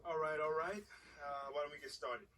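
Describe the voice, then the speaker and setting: An actor playing a confident, easygoing young pro basketball player in a video game cutscene, with laid-back, slangy delivery. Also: male voice, fairly high pitch, moderate pace, bright, forceful tone, distant mic, a little hiss or hum, poor audio. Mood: composed, confident. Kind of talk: naturalistic game cutscene dialogue.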